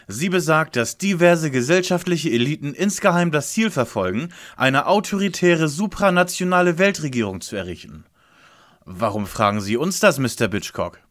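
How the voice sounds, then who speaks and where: A man's voice: on the high side, fairly bright tone. An actor playing a pompous, brainy boy detective with bookish diction in a parody audio drama.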